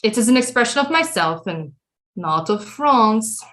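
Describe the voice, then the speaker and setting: A woman playing a cunning, imperious French queen mother with grandiose, deliberate period speech in an audio drama. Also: fairly high pitch, lively intonation, fairly bright tone. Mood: imperious, earnest. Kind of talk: theatrical audio drama acting.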